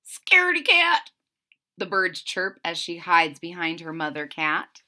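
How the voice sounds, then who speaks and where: A woman reading aloud to children, expressive and explanatory. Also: on the low side, measured pace. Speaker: storybook reader.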